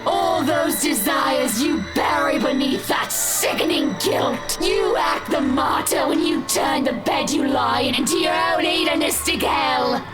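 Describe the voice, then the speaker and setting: A woman's voice: high-pitched, expressive, unhurried, few pauses. A woman playing a cruel, mocking two-headed apparition of young women in a horror visual novel, with a taunting, theatrical delivery. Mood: livid, accusing. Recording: loud music behind the voice. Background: loud game music.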